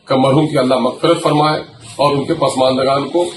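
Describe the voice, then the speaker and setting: A man's voice: mid-range voice, steady tone. Echoey, distant man making political statement. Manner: oratorical, rhetorical questions and deliberate phrasing. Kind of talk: political statement in formal, Urdu-heavy speech. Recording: echoey, distant mic, clear background noise.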